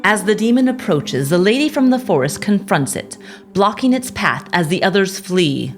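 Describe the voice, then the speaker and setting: A woman's voice: mid-range voice, big pitch swings, moderate pace. A woman narrator telling a scripted story theatrically for an audio drama. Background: music.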